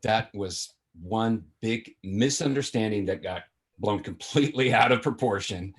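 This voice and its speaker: A man's voice: on the low side, a little slow, natural voice. A man playing a breezy, shameless womanizing father of a grown son in a sitcom table read. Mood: defensive. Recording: video call table read.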